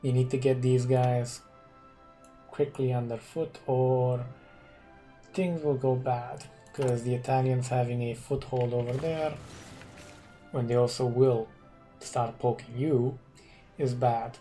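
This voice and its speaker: A Romanian man, a non-native English speaker, casually commenting on a strategy game and thinking aloud with hums and pauses. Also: mid-range voice, fairly even intonation, dark tone, soft background music. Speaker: gaming commentator.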